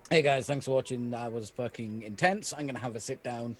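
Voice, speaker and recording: A man's voice: mid-range voice, a little fast. A man from Wales chatting casually as a player on a game stream. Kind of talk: casual chat.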